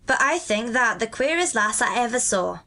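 A woman voicing a bright, hearty Yorkshire country boy. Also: on the high side, big pitch swings, brisk, voice pushed up, exaggerated voice. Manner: broad dialect, warm and hearty. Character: cheerful kindly country boy, gentle and friendly. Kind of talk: audiobook character reading.

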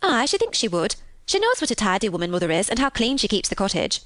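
Woman as a fast, chatty housemaid. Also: mid-range voice, big pitch swings, fairly bright tone, no echo. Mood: excited, warm. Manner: homely dialect, brisk and chatty. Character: chatty, good-hearted young country housemaid.

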